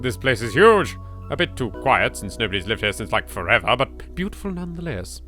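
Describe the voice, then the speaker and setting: A man's voice: mid-range voice, sing-song, brisk, bright, forceful tone, faint music behind. A British man voicing a jittery, chatty young woman in a horror game, exasperated and teasing. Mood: pouting, nagging.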